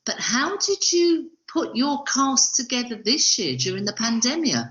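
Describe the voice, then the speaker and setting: A woman's voice: fairly high pitch, sing-song, measured pace, a bit bright. A woman hosting a podcast, putting questions to her guest in a conversational way. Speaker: podcast host, interviewer. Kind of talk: conversational interview. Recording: roomy, slightly muffled audio.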